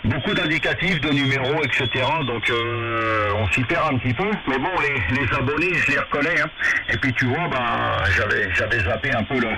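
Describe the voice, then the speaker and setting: A man's voice: medium pitch, moderate pace. Hesitant man on a very noisy radio. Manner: hesitant, repetitive, informal. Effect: two-way radio transmission. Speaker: amateur radio operator. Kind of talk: casual radio chat. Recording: very noisy two-way radio reception.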